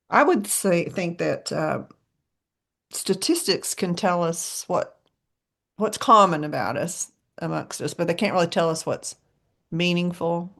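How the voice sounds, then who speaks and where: Low-pitched, gravelly woman podcast host. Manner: chatty, laughs often, trails off. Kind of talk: casual chat.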